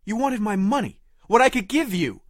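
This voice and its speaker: Audio drama boyfriend, thirties, played by a man: wounded, defensive, very animated pitch, dry close recording. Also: moderate pace, dry recording. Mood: angry, accusing. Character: indignant, wounded 35-year-old boyfriend on the phone.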